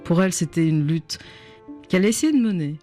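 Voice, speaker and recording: A woman's voice: fairly low pitch, animated, brisk, warm tone, faint music behind. A woman novelist whose first language was Chinese, speaking in a radio studio interview, reflective and searching for words. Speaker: novelist guest.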